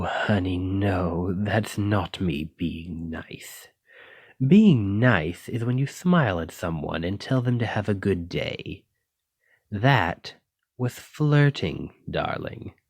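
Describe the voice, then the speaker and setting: A man's voice: on the low side, darkish tone, dry recording, studio quality. A man playing a flirtatious, slightly possessive dragon-blooded librarian in an intimate, teasing ASMR romance roleplay. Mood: fond, exasperated flirting. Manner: intimate, teasing, uses pet names.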